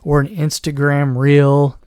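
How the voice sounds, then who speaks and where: A man talking alone on his podcast with a hoarse, worn voice, sometimes clearing his throat. He rambles and restarts his sentences, now and then slipping into a mocking put-on voice. The sound is dry and close, with no room around it. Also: a little high, flat delivery, slow, darkish tone, no room sound. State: losing his voice. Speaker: podcast host. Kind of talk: solo commentary podcast.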